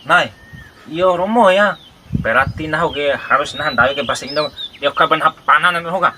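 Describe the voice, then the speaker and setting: A man hosting a family vlog, chatting casually with animated, joking banter. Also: a little high, normal speed, slight background noise. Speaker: channel host. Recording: loud music playing behind.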